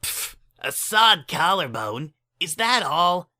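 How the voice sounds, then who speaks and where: A bright, brash young cartoon filly, acted by a woman with wildly swinging pitch. The pony is sceptical and cocky, and draws words out. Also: big pitch swings, takes it slowly, heavily acted. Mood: scornful. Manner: scoffing, brash.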